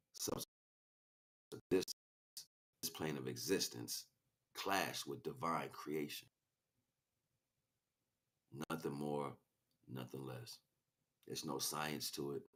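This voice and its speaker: A man delivering an impassioned, improvised spiritual monologue, preachy and grandiose, swinging between hushed asides and loud, emphatic declarations. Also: extremely low pitch. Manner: preachy, grandiose, repeats phrases for weight. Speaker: spiritual speaker, streamer.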